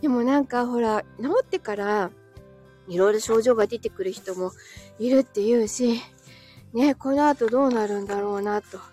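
A woman's voice: on the high side, normal speed, dark tone, faint music behind. A woman live streamer chatting casually and answering comments, giggly, tired and a little short of breath after being ill. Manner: giggly, often breaking into small laughs.

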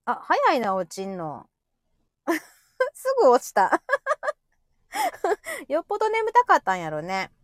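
A woman's voice: high voice, wildly swooping pitch, a little slow, pauses often, no echo, studio quality. A woman with a Kansai accent hosting a casual comedic chat in a teasing, chatty way. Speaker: host of the show.

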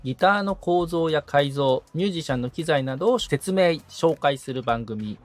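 Higher-voiced man thinking aloud. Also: animated, normal speed, faint music behind. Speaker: podcast assistant, guitar repairman being coached. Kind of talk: casual, reflective discussion.

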